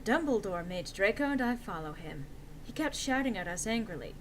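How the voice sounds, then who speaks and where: A woman's voice: medium pitch, animated, fairly quick, slight background noise. A woman reading a story aloud as narrator in a theatrical, mock-serious and emphatic comic style.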